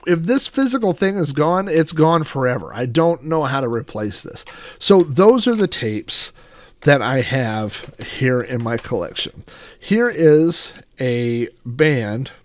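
A man's voice: mid-range voice, no echo. Middle-aged American man, casual and rambling, dry sound. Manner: rambling, anecdotal. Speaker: host of a personal collection show. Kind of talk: casual reminiscing show-and-tell.

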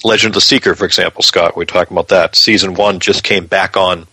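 A man's voice: low voice, steady tone, quick pace, bright, forceful tone, husky, dry recording. A man co-hosting a podcast, explaining things in a casual, conversational news chat. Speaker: podcast co-host.

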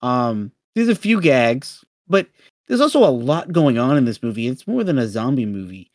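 Guy rambling about movies on a podcast, mid-pitched voice, very dry sound with no room. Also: very animated, normal speed, darkish tone, no echo. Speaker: podcast co-host. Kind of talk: casual film discussion.

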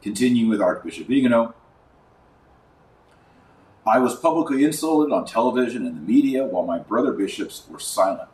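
A man with a fairly low voice delivers religious podcast commentary. He is emphatic and indignant, leaning on rhetorical questions and sarcastic asides. The sound is clearly roomy, as if he sits a little way from the microphone. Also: a little mellow, recorded from a distance.

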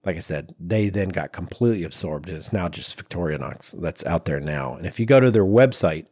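Man, podcast co-host, lowish pitch, chatty explanatory delivery, casual phrasing, dry room-free sound. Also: expressive, fairly quick, a little mellow, slightly rough, no room sound. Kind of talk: casual conversational discussion of design objects.

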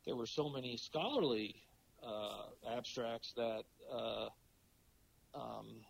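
A middle-aged man with a cold and a raspy voice, talking as a podcast guest in a halting way with many fillers. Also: medium pitch, dry recording, poor audio. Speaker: podcast guest, philosophy professor. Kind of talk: casual interview talk.

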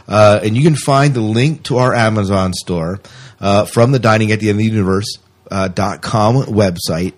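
Male podcast host, lower-pitched voice, informal and talkative, explaining things in casual co-host chat. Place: small closed room.

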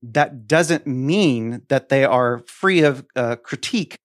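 A man's voice: medium pitch, animated, slow, dry recording, clean studio sound. A man co-hosting a podcast, discussing a listener question in a conversational way. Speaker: podcast co-host.

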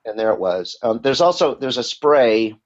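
A man's voice: medium pitch, expressive, moderate pace. A middle-aged or older man who works as a voice actor, telling candid, rambling stories as a guest in a casual interview. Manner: chatty storytelling, candid, rambling with restarts. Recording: muffled lo-fi audio.

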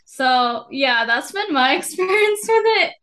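A soft-spoken woman from the Philippines talks as a podcast guest in an easygoing, chatty way. Her voice sits a little high and never gets loud. She fills her sentences with small hesitations. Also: unhurried. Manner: relaxed, chatty, many fillers. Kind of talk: casual conversation.

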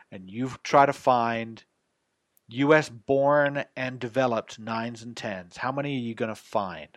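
Stammering podcast co-host, dry sound. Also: male voice, mid-range voice, no echo. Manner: hesitant, stammering, self-deprecating. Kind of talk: casual podcast chat.

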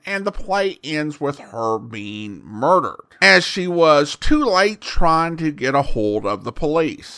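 A man's voice: a little high, sharp tone. A man hosting an old-time radio podcast, presenting in a conversational way. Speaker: podcast host introducing old radio programs.

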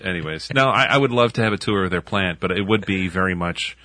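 A man co-hosting a casual car podcast, trading wry quips and deadpan asides. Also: low voice, fast talker. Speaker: podcast co-host. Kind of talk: casual banter.

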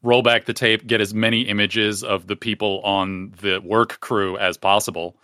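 Male player, crisp and bright low voice, deliberate phrasing, close dry sound. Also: little pitch movement, no room sound. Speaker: tabletop game player. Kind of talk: casual in-character game discussion.

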